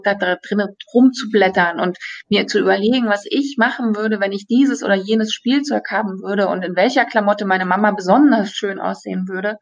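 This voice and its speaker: A woman's voice: medium pitch, vocal fry. Middle-aged woman from East Berlin, interview guest, chatty and hesitant, slightly dull recording. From East Berlin, Germany. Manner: chatty, hesitant, many fillers and restarts. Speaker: guest, radio play voice actress. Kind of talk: casual interview chat.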